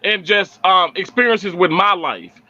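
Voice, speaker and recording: A man's voice: high-pitched, expressive, unhurried, bright, forceful tone, highs cut off. A man from Mississippi chatting on a live stream from his family home, upbeat, earnest and faith-filled. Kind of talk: casual live stream chat.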